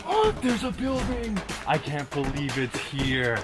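Excited man exploring outdoors. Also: a little high, unhurried. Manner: excited, joking. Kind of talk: casual chat while exploring. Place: outdoors in woods. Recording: outdoor audio under music.

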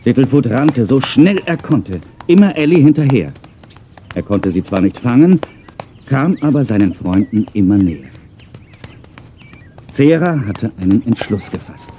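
A man's voice: mid-range voice, sing-song, unhurried, pauses often, warm tone, slight background noise. A man narrating a scripted audio drama for children in a storytelling style. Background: music.

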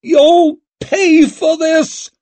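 A man's voice: little pitch movement, very slow, warm tone, exaggerated voice. A man voicing a frantic, imperious aristocratic mother in an audiobook, shrieking and screaming vengefully. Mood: vengeful fury. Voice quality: shrill, screaming.

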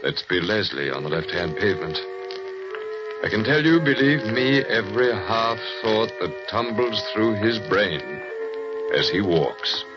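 A grave male storyteller unrolls the mystery in a slow, deliberate, low voice. Music swells behind him on a muffled old radio broadcast. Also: lively intonation. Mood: ominous. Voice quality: slow, measured, ominous delivery. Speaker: narrator, storyteller. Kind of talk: 1940s radio drama narration.

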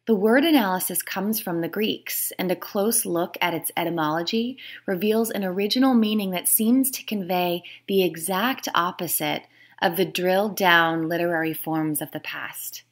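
A woman author reading her nonfiction book aloud in a measured, explanatory way. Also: lowish voice. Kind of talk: scripted read-aloud of nonfiction.

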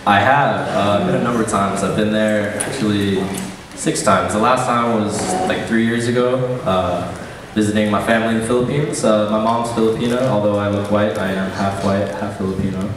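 A young man emceeing a student cultural show on stage, chatty and relaxed with lots of fillers. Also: lowish voice, a little fast, background noise. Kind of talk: casual stage hosting. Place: large event hall on a stage. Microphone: handheld mic heard through hall speakers. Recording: echoey hall from far off.

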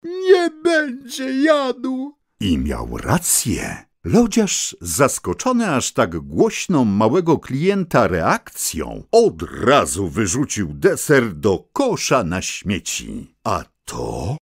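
A man narrating a scripted children's tale as a theatrical, expressive storyteller. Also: medium pitch, slow pace, husky, no echo, studio quality. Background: music.

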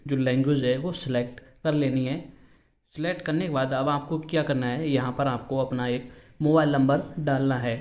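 A man's voice: mid-range voice, muffled recording. A man hosting an instructional app walkthrough, explaining each step repetitively. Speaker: tutorial host.

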